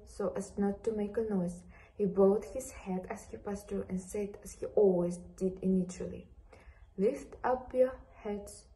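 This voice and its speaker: A young woman reads a novel passage aloud indoors. Her voice is mid-pitched with a warm, mellow tone. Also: rough recording. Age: young adult. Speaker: student reader. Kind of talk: reading literary prose aloud. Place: indoor room beside a large screen.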